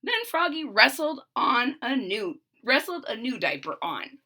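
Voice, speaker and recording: A woman's voice: on the high side, very animated, measured pace, pushed and bright. A woman reading a children's story aloud, narrating expressively and emphatically and adding simple explanations. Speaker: storybook reader.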